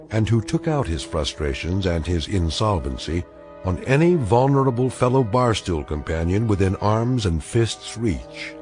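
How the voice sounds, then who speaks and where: Radio play role: ominous, wry storyteller played by a man, expressive and sardonic, over prominent music. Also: fairly low pitch, natural voice. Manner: measured, sardonic. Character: wry, ominous male anthology narrator. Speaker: narrator. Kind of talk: scripted narration, radio drama.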